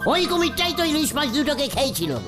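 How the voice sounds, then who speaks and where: A woman's voice: sits high, sing-song, fast talker. A woman voicing a boastful cartoon uncle who spins tall tales in a dramatic, bantering way. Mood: dramatic storytelling. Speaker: storyteller character. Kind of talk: animated cartoon storytelling. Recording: music under the voice.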